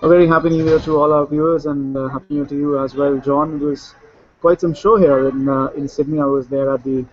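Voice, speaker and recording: A man's voice: a little high, fairly even intonation, quick pace, dull audio. A man talking through a cricket match in a casual discussion, fluent but with many fillers and restarts. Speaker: cricket analyst. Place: Sydney, remote link.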